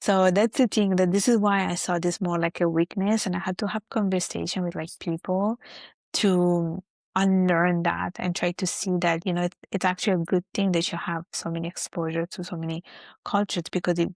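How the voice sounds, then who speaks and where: A young woman with an Italian accent talks things through in an even, fairly low voice. She leans on fillers like "like" and "you know" as she reflects. The sound is close and dry. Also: moderate pace, dry recording. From Italy. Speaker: guest. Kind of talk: casual interview chat.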